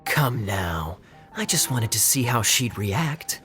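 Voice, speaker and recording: An actor playing a suave, teasing young man with smooth talk in a game cutscene. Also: mid-range voice, very animated, slow pace, sharp tone, faint music behind, full character voice. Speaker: tavern customer.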